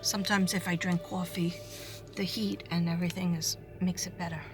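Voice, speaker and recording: A hoarse woman talking casually to her viewers in a rambling way, full of fillers and restarts. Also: fairly low pitch, level tone, normal speed, over music. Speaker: psychic reader.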